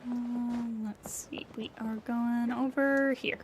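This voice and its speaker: Female game streamer: playful, offhand casual chat, lightly high pitch, leisurely slow delivery. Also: little pitch movement, a little hiss or hum. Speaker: livestreamer playing a game. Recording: game music behind the voice. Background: game music.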